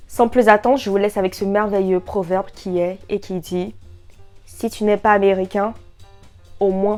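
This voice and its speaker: Young woman, Ivorian French accent, lively and joking, mid-pitched voice, faint music behind. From Côte d'Ivoire. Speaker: YouTuber. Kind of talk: casual vlog to camera.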